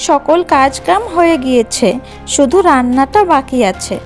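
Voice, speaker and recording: Meek, high-voiced young sister played by a woman. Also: normal speed. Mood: meek, apologetic. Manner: gentle, apologetic. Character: meek, sweet, obedient young sister, eager to please. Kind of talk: cartoon fairy-tale dialogue. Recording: music behind the voice.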